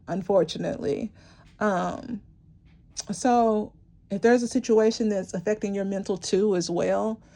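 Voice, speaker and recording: A woman giving a rambling, encouraging tarot reading to her viewers, her voice worn and going hoarse, with many fillers and restarts. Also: medium pitch, mellow. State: losing her voice, clearing her throat. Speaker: tarot reader. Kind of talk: intuitive tarot reading to camera.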